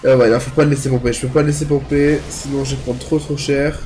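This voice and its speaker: A man's voice: medium pitch, little pitch movement, fast, mellow. A young man, congested from a cold so he sounds nasal, casually commentating his own mobile gameplay as he thinks aloud. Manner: chatty, thinks aloud while playing. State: congested, talking through the nose from a cold. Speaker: gaming youtuber.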